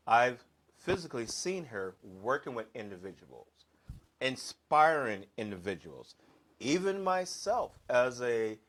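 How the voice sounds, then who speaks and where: A man hosting a talk show as a hypnotherapist, speaking earnestly and haltingly with frequent fillers and restarts. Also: medium pitch, a bit bright. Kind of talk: slow, earnest monologue introducing a guest.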